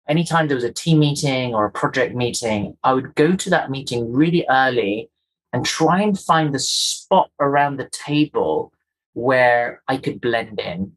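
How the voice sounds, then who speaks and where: A man from Kenya with a softened Kenyan accent, a guest telling his story in an interview with earnest, emphatic repetitions. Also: medium pitch, low-quality recording.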